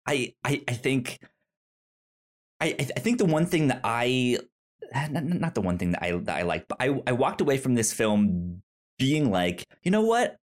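Halting, stammering podcast host in casual chat. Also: mid-range voice, very animated. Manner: halting, stammering, repeats words. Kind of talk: casual film review chat.

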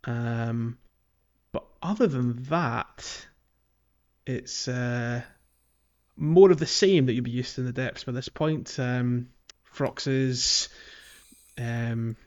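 A man's voice: medium pitch, takes it slowly. Man with a slightly muffled podcast voice. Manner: relaxed, many ums, walks through game areas. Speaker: podcast co-host. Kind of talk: casual game discussion.

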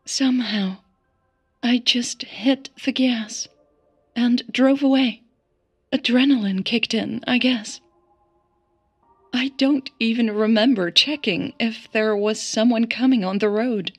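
A woman playing a frightened, shaken young woman in an audio drama, speaking haltingly. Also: on the high side, husky, soft background music, pitched above the performer's own voice, exaggerated voice. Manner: halting, shaky.

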